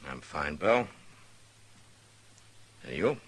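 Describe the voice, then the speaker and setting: An actor playing a stern, laconic western marshal in a radio drama, terse and dry. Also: male voice, rumbling low, very animated, slow, fairly bright tone, breathy edge, exaggerated voice. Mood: guarded, calm. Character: stern, laconic Old West marshal, weary and guarded. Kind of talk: 1950s radio western acting.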